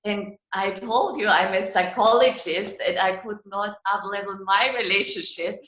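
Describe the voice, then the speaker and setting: A woman from Germany with a German accent, a psychologist and coach, answering interview questions in an animated way with frequent laughs and repeated words. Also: mid-range voice, normal speed. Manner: animated, laughs between phrases, repeats words as she restarts. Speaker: guest, psychologist and relationship coach. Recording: roomy, dull lo-fi sound.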